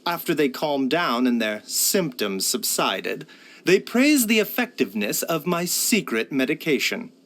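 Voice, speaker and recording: A man voicing a courteous, wry pharmacist and doctor in a video game, speaking in measured, formal phrases. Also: fairly high pitch, sing-song, fairly bright tone. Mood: calm, thoughtful. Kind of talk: scripted video game character voice lines.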